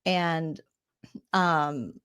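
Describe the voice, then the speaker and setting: Woman comedian, snarky recap host, mocks the cast with quick impressions. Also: low voice, fairly bright tone, smooth. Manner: mocking, jumps into exaggerated character voices. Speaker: comedian recapping a reality show.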